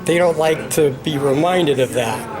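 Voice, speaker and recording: A man chatting casually, telling long stories and slowing down to explain things carefully. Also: a little high, over music. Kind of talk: casual chat.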